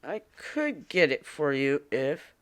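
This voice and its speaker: A woman voicing a timid, lonely male frog with a scratchy voice in a read-aloud fairy tale, speaking slowly and politely. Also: deep voice, very animated, full character voice.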